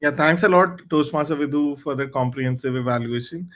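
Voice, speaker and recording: A man's voice: a little high, a little fast, fairly bright tone. A man, acting as general evaluator at a club meeting and thanking speakers in an appreciative way over a video call. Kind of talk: meeting facilitation.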